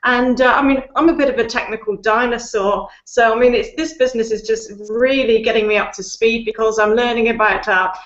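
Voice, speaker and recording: A woman's voice: on the high side, fairly even intonation, brisk, few pauses, echoey room, muffled recording. A middle-aged woman from the UK, giving a chatty, upbeat testimonial over a video call from her living room. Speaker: guest. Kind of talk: casual testimonial.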